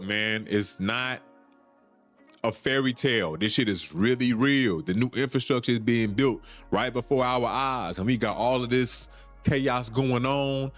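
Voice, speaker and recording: A man's voice: mid-range voice, a bit bright. Thirtysomething guy, urgent preachy podcast rant, keeps repeating himself, faint music under it. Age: 30s. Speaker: podcast host. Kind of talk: solo podcast monologue.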